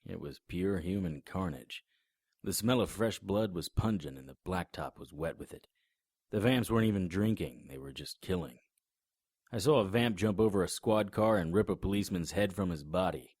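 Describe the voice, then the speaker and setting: Man, very deep voice, husky, grim matter-of-fact noir narration, first person, dry close recording. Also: animated, moderate pace, darkish tone, no room sound. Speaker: narrator and lead character.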